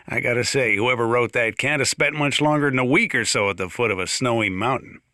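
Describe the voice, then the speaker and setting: An actor playing an aging small-town mountain sheriff with an American accent, folksy, rambling and dryly humorous, in a video game monologue. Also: male voice, mid-range voice, fairly quick, pushed and bright, no echo, clean studio sound, close to an everyday voice. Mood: dryly amused. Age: older.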